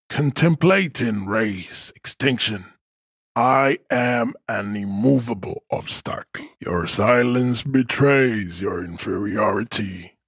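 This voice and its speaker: A man's voice: on the low side, a bit bright, breathy edge, dry recording, studio quality, exaggerated voice. An actor playing an imposing, arrogant supremacist strongman in a video game, lecturing in a pompous, formal, declamatory way with grandiose contempt. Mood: contemptuous. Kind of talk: video game character acting.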